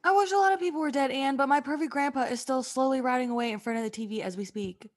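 Female performer as sarcastic, bossy young female companion, high voice, exasperated, comic radio play. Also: fast talker. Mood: sarcastic disbelief. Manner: sardonic, bossy. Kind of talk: comedic take on radio drama.

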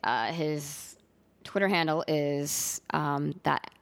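A woman's voice: sits low, slow pace, vocal fry. A young woman with a slight lisp, co-hosting a casual podcast in a dry, self-deprecating way. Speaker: podcast co-host. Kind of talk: casual chat.

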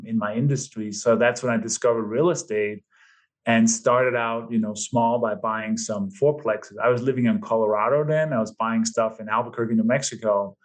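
Man in his fifties with a slight Danish accent. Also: mid-range voice. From Denmark. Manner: relaxed storytelling with fillers. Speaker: podcast guest. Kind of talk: casual interview chat.

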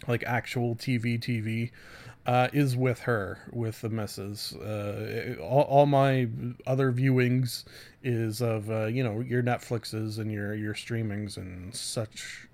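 A man talks through casual reviews as a solo podcast host, in a medium-pitched voice. His delivery is halting and conversational, full of asides, self-interruptions and corrections. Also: steady tone, a little mellow. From Canada.

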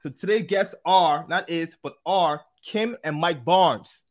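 A man's voice: a little high, slow pace. A man hosting an interview podcast, upbeat and chatty, with frequent fillers and restarts. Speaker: podcast host.

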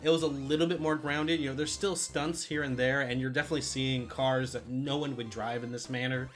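A man's voice: medium pitch, steady tone, fairly quick, faint music behind. A man co-hosting a podcast in casual film discussion, chatty and loosely profane. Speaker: podcast co-host.

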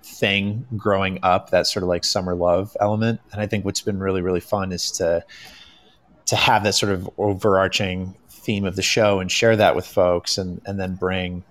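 A man's voice: sits low, level tone, moderate pace, a bit bright, no room sound. A man speaking casually and reflectively as a creative guest on a panel, searching for his words.

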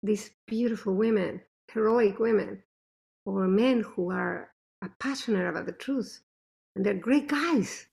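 A woman with a thick non-native accent and a gravelly, creaky voice, speaking in an interview. She tells family stories in an animated, emphatic way. Her pitch sits in a middle range for a woman. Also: measured pace. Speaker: interview guest, mother. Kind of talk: conversational interview.

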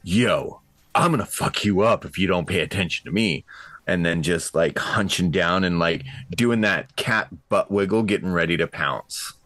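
A man's voice: low-pitched, moderate pace, a bit bright, no room sound. A man casually describing his moves as a tabletop roleplaying player, in a slangy, informal way. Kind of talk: casual in-game action description.

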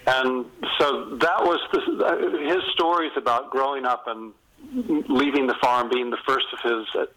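An older American man from North Carolina telling stories as an interviewed novelist, in an animated, conversational way with emphatic repetition. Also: mid-range voice, unhurried, rough recording. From North Carolina, United States. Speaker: novelist being interviewed as guest.

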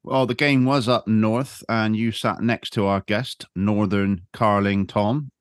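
A man's voice: fairly low pitch, fairly even intonation, a little slow, no echo, studio quality. A man hosting a football podcast, who leads the casual chat and reads out match events. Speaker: podcast host.

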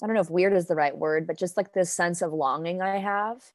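Young woman guest, slightly low voice, casual and chatty, laughs easily, dry close recording. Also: fairly quick, darkish tone, no room sound. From Denmark. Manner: chatty, lots of fillers, laughs often. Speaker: guest poet. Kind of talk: casual interview chat. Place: New York.

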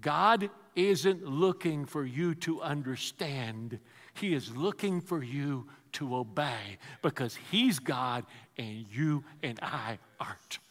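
A man preaching a sermon, telling the story with lively energy and quizzing the congregation. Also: medium pitch, slow, in a large hall. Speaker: pastor.

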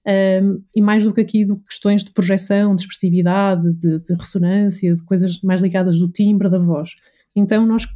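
A woman's voice: mid-range voice, warm tone. A woman with a European Portuguese accent giving conversational explanations as an interview guest, articulate and often listing her points. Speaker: voice and communication coach, interview guest.

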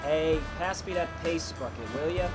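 A man's voice: on the high side, normal speed, music in the background, lightly acted, rough recording. A man playing an easygoing theatre stagehand in a period audio drama, casual and dryly joking. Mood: friendly.

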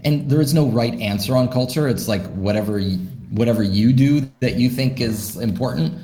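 A man's voice: medium pitch, normal speed, warm tone. A man, a startup founder, answering interview questions in a thoughtful way, with many fillers and restarts. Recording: roomy echo.

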